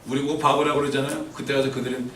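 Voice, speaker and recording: A man's voice: mid-range voice, flat delivery, very fast, a bit bright, a little hiss or hum. Man preaching in an echoing church. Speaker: pastor. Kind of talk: sermon. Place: church sanctuary. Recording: roomy church echo from far off.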